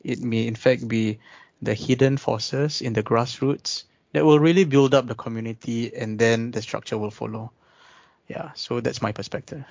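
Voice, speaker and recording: A man talking through ideas in a casual discussion, measured and pausing often with ums. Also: medium pitch, steady tone, creaky, a little mellow, dry recording. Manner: measured, thinking aloud with many ums. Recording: dull dry recording.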